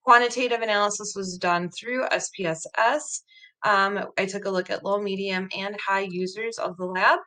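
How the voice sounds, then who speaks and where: Woman, Croatian first language, bright clear tone, earnest explainer, webcam recording. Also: medium pitch, steady tone, normal speed. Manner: earnest, explanatory, frequent "um" fillers. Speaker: researcher presenting her study. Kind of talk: recorded conference presentation over slides. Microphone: laptop webcam video call.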